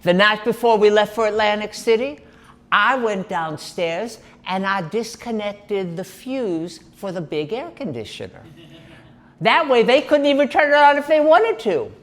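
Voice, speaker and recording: A man voicing a practical, sharp-tongued American mother, talking briskly and wryly. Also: high-pitched, big pitch swings, voice pushed up, exaggerated voice. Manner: brisk, wry.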